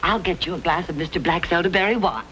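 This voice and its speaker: An actress playing a hospitable, chatty older frontier wife with a fussing, homespun manner in an old-time radio Western. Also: female voice, on the low side, quick pace, fairly bright tone, lightly acted. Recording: noisy old radio drama recording.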